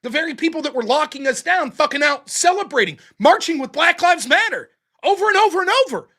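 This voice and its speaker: A man with a high-pitched voice and audible heavy breathing hosts a live stream, reading donations aloud. His pitch swings widely as he gets fired up and yells, peppering everything with profanity. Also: brisk. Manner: animated, profane, yells about politics. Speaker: livestream host reading super chats. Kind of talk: casual livestream chat.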